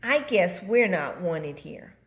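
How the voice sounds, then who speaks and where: A woman's voice: on the low side, animated, slow. A woman voicing a kind, protective storybook bean with a firm, reproving manner. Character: kind, protective bean standing up for little ones.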